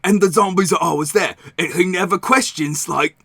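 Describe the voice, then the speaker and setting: Shady game merchant: higher-pitched, very sharp, cheeky, sarcastic, rambling comic sales talk. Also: male voice, moderate pace, creaky, breathy edge, no room sound, heavily acted. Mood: sly, conspiratorial. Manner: rambling, sarcastic, repeats himself. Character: shady, cheeky, scheming zombie-game arms merchant, male. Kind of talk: improvised in-character comedy interview.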